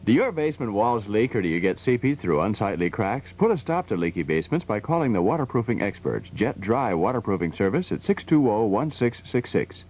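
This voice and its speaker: A man's voice: fairly low pitch, big pitch swings, fairly quick, few pauses, a little mellow, a little hiss or hum. An American man reading a scripted 1970s local commercial as its announcer.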